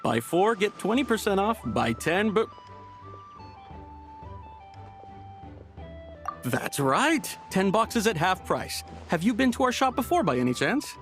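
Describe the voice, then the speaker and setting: A man's voice: high voice, very animated, moderate pace, fairly bright tone, faint music behind. A man voicing an ingratiating tea shop vendor in a video game, polite and nervous, with a stammering delivery. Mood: sales pitch.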